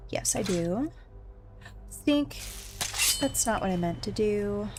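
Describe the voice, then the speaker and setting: A woman's voice: medium pitch, lively intonation, takes it slowly, background noise. A woman giving casual, chatty gameplay commentary, thinking aloud with playful little exclamations. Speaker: gamer streaming a blind play-through.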